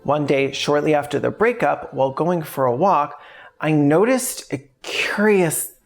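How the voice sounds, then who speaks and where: A woman's voice: low-pitched, lively intonation, unhurried. A woman narrating a scripted video essay in a wry, conversational, sweary way. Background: music behind the voice.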